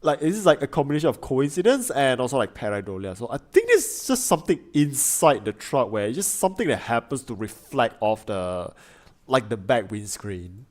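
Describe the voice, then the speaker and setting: A man's voice: medium pitch, sing-song, a little fast, darkish tone. Guy streamer rambling through his reactions, voice jumps up and down a lot, lots of um and like. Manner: thinks aloud with many fillers and restarts. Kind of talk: casual chat.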